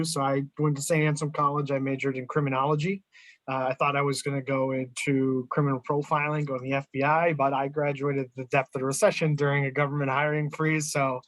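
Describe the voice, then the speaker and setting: A man from New England with a Boston accent, giving a relaxed, conversational talk as guest speaker on a video call. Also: medium pitch, dry recording, rough recording. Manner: relaxed, conversational, self-restarting. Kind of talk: presentation over video call.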